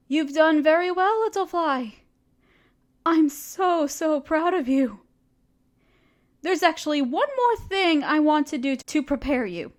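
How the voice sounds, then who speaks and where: A woman voicing a doting spider queen. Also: sits high. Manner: soft, patient, doting and reassuring. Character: gentle motherly female spider queen, protective. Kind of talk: audio roleplay acting.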